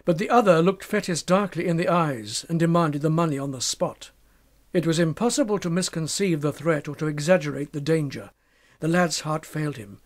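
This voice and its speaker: A man narrating a literary tale as an audiobook, dramatic, measured and formal in his delivery. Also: on the high side.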